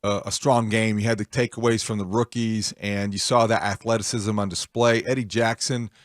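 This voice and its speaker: A man's voice: lowish voice, level tone, moderate pace, dry recording, studio quality. A man hosting a sports radio show, laying out analysis and putting questions to a guest. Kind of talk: interview questioning with game analysis.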